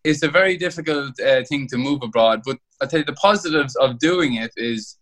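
A young man with an Irish accent talks at an easy, rambling pace about his life playing football abroad. He often restarts mid-phrase. His voice sits at an ordinary pitch for a man. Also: a little fast, a bit bright. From Ireland. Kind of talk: interview over a call.

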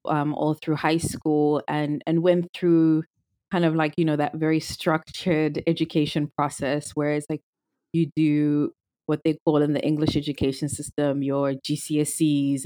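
A woman's voice: sits low, a little mellow. A young woman from Zimbabwe, a podcast guest speaking thoughtfully and casually, with frequent restarts. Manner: thoughtful, with many restarts and fillers. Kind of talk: casual conversational interview.

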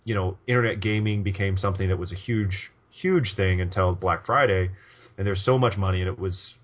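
A man's voice: deep voice, brisk, lo-fi sound. A man co-hosting a poker podcast in casual chat, rambling with lots of restarts and fillers. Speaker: podcast co-host.

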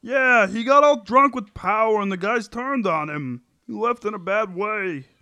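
A man puts on a placating, wry sitcom husband with a very high, sharp voice. He whines and pleads at an unhurried pace. The sound is dry and close. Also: clear, resonant tone, no room sound. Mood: sheepish. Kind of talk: comic sitcom table read.

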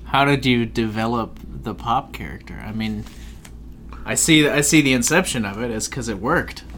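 A male interviewer with a mid-range voice asks relaxed, curious questions and answers with easy laughter and short acknowledgments. Faint background noise sits behind him. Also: mid-range voice, moderate pace. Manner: curious, laughs along easily.

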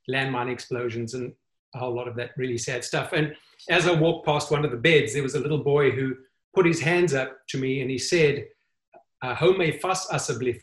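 Man, slightly high voice, earnest storyteller, roomy call audio. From South Africa. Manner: earnest, halting with many fillers. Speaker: guest. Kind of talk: storytelling in an online interview. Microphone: video call.

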